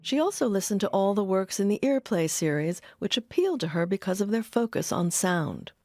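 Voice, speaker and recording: A woman reading scripted documentary narration in a measured, informative manner. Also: mid-range voice, animated, a little mellow, no room sound, clean studio sound. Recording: faint music bed under narration.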